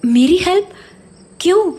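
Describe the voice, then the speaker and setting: A woman's voice: very high voice, animated, a little slow, a little mellow. A woman playing an uneasy, questioning young mother in an audio horror drama, speaking hesitantly. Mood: puzzled, hesitant.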